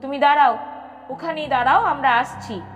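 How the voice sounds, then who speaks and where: A woman's voice: sits high, normal speed, highs cut off, pitched above the performer's own voice, close to an everyday voice. A woman voicing an eager, caring, bold young neighbourhood lad who calls out in an audio story. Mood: urgent. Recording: long reverb with music behind.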